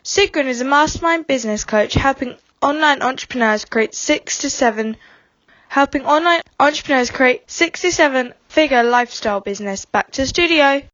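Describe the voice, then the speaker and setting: A woman's voice: high voice, animated, normal speed, fairly bright tone, no echo, muffled recording. A female podcast co-presenter reading a scripted guest introduction.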